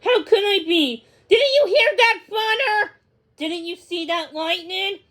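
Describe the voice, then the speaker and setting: A woman's voice: extremely high pitch, unhurried, fairly bright tone, pitched above the performer's own voice. A woman voicing a timid, anxious young female dog in a storybook, with an emphatic, plaintive delivery. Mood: upset.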